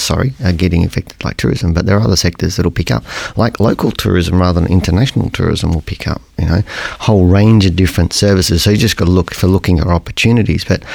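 A middle-aged man with a croaky voice hosting a podcast, explaining things in a rambling, conversational way and often correcting himself. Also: deep voice, a little mellow, no room sound. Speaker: podcast host. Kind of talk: casual educational podcast monologue.

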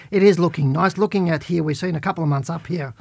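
A middle-aged man with a croaky voice from a head cold, hosting a podcast and casually answering listener questions while reading stock charts. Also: a little high, fast talker. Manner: chatty, plain-spoken, talks while reading charts. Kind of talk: casual market commentary.

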